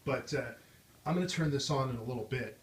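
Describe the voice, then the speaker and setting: A man's voice: medium pitch, brisk. Man, mid-range voice, casual host, conversational show-and-tell, background noise with clicks. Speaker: host of a vintage radio showcase.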